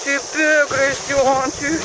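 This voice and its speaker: A woman filming from a riverbank, exclaiming and stammering as she gives excited commentary, recorded on a handheld camera outdoors. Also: high-pitched, slow pace, noisy background. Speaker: filming a fishing partner.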